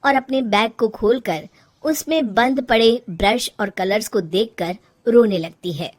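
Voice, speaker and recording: A woman's voice: on the high side, unhurried. A woman narrating a scripted moral story for children as the storyteller.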